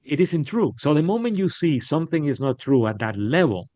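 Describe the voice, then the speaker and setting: A middle-aged man from Puerto Rico, a podcast guest telling stories in a candid, animated way with frequent restarts. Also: a little high, a little fast, dry recording. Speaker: podcast guest, business leader. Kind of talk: casual interview storytelling. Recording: dull, lo-fi sound.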